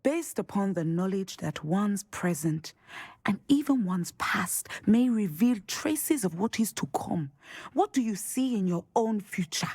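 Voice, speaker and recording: An actress playing a serene, portentous divination professor in a fantasy game, speaking in a measured, formal, mystical manner. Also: female voice, medium pitch, lively intonation, dark tone, husky, no echo. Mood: serene, approving. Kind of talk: video game character dialogue.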